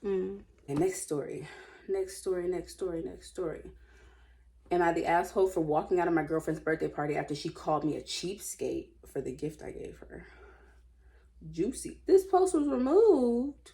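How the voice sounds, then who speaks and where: A woman reading aloud and commenting casually, with an informal, opinionated, slangy way of musing out loud. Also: fairly low pitch, expressive, moderate pace, gravelly. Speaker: host reading and commenting on online stories.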